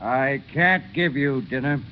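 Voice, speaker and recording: An actor playing a suspicious, unyielding innkeeper with a curt, deliberate manner in an old radio drama. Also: male voice, medium pitch, natural voice. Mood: coolly refusing. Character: cold, suspicious French village innkeeper turning away guests. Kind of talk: 1930s radio drama acting. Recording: muffled, noisy vintage radio recording.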